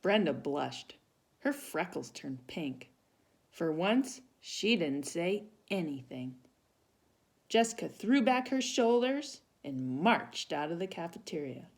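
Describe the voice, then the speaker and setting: A woman reading a children's story aloud with animated narration and small asides to young listeners. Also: lowish voice, slow. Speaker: volunteer storybook reader.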